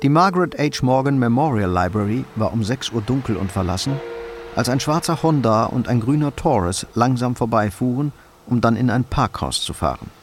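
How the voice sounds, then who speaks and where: Creaky-voiced male crime narrator. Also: medium pitch, expressive, normal speed, background noise. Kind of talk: scripted crime drama narration.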